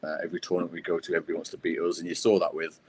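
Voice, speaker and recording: A man answering podcast interview questions as a guest, speaking haltingly with many fillers and restarts. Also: sits low, little pitch movement, slight background noise. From United Kingdom. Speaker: guest, wheelchair rugby player.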